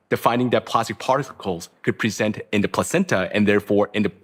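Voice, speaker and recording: A man giving a formal, measured introduction of a guest speaker to colleagues at a conference, speaking into a podium microphone. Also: fairly low pitch. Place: conference hall.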